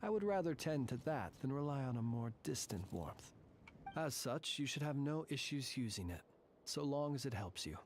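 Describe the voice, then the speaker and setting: An actor playing a solemn, formal and courteous guardian of haunted tombs in a video game. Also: male voice, mid-range voice, big pitch swings, a little mellow, a little hiss or hum. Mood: composed. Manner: formal, measured, ornate courteous speech. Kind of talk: video game character dialogue.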